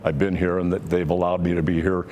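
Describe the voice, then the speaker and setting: A man's voice: low-pitched, level tone, quick pace, darkish tone, a little hiss or hum. An older man, a sports arena announcer, chatting in a plain-spoken, self-assured way in an interview. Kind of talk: interview chat.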